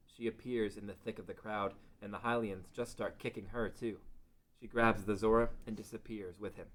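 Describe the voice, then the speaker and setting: Low-voiced man narrating a fantasy story. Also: fairly bright tone. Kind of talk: scripted story narration in a table read.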